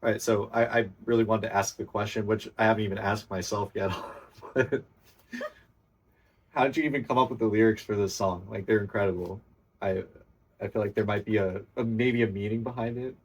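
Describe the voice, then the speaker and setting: Low-voiced man, a bit off-mic. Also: steady tone, brisk, slightly rough, distant mic. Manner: enthusiastic, complimentary, rambling with restarts. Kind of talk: casual podcast chat.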